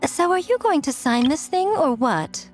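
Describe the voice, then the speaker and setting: A woman's voice: sits high, very animated, unhurried, soft background music. A woman voicing a sly, elegant fox-spirit shrine maiden in a video game, coy, smug and playful in a polished manner. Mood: coaxing, teasing.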